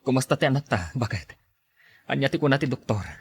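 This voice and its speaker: A man's voice: mid-range voice, lively intonation, fast, slight background noise, close to an everyday voice. A man playing an earnest, hard-pressed working husband and father in a podcast drama. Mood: concerned.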